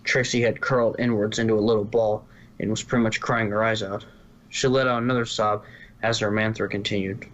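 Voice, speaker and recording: Guy reading a story out loud, deepish voice, trips on words sometimes, bit muffled. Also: little pitch movement, brisk. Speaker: narrator. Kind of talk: scripted story narration.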